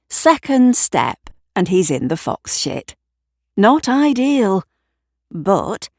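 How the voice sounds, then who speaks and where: Woman narrating, unhurried and expressive. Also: on the low side, no echo, studio quality. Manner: wry, descriptive. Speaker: writer and narrator. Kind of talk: scripted dramatic storytelling.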